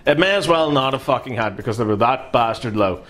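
A man in his thirties with a Northern Irish accent chats casually as a co-host, reading viewer comments aloud. He is animated and swears freely, his voice sitting at a medium pitch. It sounds like a small room recorded on a fixed camera mic. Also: brisk. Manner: animated, swearing, gestures a lot while talking.

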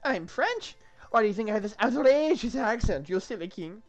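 A man playing a rude castle guard in a comic script, high-pitched and swooping. He sounds haughty and mocking, flinging his words out with exaggerated contempt. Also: sing-song, moderate pace, faint music behind. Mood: mocking, insulting.

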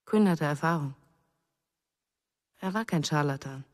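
A woman's voice: low voice, measured pace, a little mellow, in a large hall. An actress playing a grieving young mother in a German radio drama, restrained and pensive, in short phrases.

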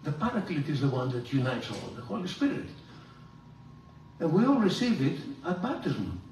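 An older man, a priest, giving a theology lecture in an animated, emphatic way, filmed from across a large room. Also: moderate pace, a little hiss or hum. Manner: animated, gesturing, emphatic rises in pitch. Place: large room with hard walls. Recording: roomy hall echo, from far off.

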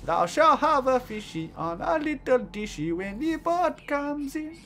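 A young man doing let's-play commentary, giggling often, croaky today, mumbling to himself one moment and shouting with excitement the next. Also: very high voice, a little slow, a little hiss or hum. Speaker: gaming YouTuber.

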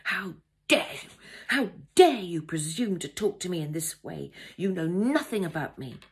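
A woman's voice: lowish voice, expressive, brisk, gravelly, bright, forceful tone, breathy edge, full character voice. A woman voicing a grieving, curt young woman in an audiobook, clipped and vehement. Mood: furious. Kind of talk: audiobook character dialogue.

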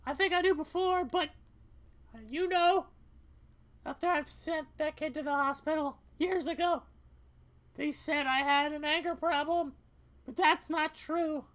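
A woman voicing a scared, angry, defiant young female cat in a video game, halting and earnest. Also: high-pitched, steady tone. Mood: raw, anguished. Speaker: gaming video player voicing the characters.

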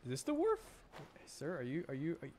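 A man's voice: fairly high pitch, huge pitch swings, a little fast, a little mellow, slight background noise. A man doing casual live gameplay commentary as a streamer, talking to himself in exasperated questions. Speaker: game streamer.

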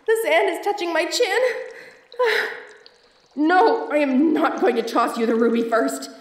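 A woman's voice: high voice, big pitch swings, measured pace. Woman acting a theatrical femme fatale, roomy echo. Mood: panicked, pleading. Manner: theatrical, pleading or teasing. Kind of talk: audio roleplay acting addressed to the listener. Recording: roomy echo, distant from mic.

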